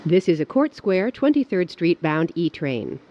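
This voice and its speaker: A woman giving recorded subway announcements in a formal, clearly phrased way. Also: lowish voice, very animated, unhurried, dry recording. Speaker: transit announcer. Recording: dull, lo-fi announcement audio.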